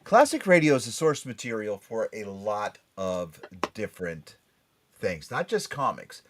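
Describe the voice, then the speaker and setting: A man's voice: fairly low pitch, sing-song, measured pace, a little hiss or hum. A man hosting a casual panel show, bantering and introducing topics, often repeating phrases for emphasis. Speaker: podcast and radio show host.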